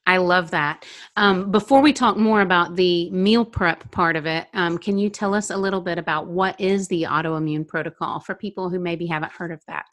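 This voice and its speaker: A woman hosts a podcast interview, asking questions and praising her guest with enthusiasm. Her voice sits slightly low for a woman. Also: moderate pace, fairly bright tone. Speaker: podcast host.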